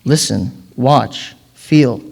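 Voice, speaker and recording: A man voicing a wise, gentle old monkey in a storybook, speaking in sparse, measured, cryptic phrases. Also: mid-range voice, gravelly, slightly rough, heavily acted. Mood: calm, musing. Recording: reverberant hall.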